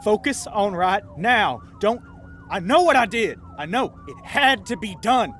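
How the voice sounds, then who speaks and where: A man's voice: sits high, lively intonation, unhurried, a bit bright, slight background noise. A man voicing a volatile, scheming bank robber in an audio roleplay, with a folksy Southern US accent and coaxing pet names for his partner. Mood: urgent, pleading.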